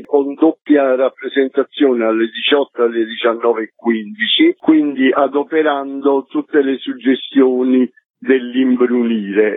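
A man's voice: medium pitch, no room sound. Eloquent man on a tinny phone line. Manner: eloquent, rhetorical phrasing with listed repetitions. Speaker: festival artistic coordinator being interviewed. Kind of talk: radio interview, explanatory talk. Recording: narrow phone-like line on radio.

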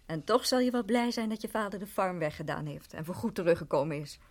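An actress playing a nosy, disapproving family friend in a radio drama, probing and opinionated in manner. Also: female voice, on the low side, steady tone, quick pace.

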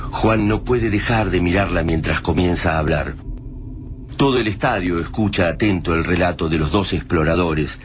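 A man's voice: low voice, a little hiss or hum. A man with an Argentine accent giving solemn, scripted narration for a radio drama in a literary manner.

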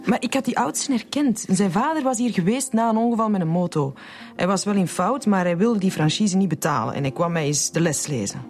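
An actress playing a plucky, compassionate Flemish insurance agent in a naturalistic radio drama. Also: female voice, medium pitch, a little fast, a little mellow, faint music behind, close to an everyday voice. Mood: matter-of-fact. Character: plucky, compassionate female insurance agent, a past robbery victim.

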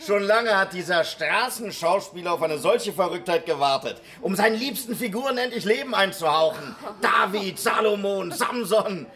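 Flamboyant high-voiced roguish showman pimp. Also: lively intonation, moderate pace, hardly any pauses, bright, forceful tone, heavily acted. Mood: exalted. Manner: theatrical, expansive, amused. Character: flamboyant roguish pimp and street performer, grandly self-styled saint. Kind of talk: German radio drama acting. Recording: music under the voice. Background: music.

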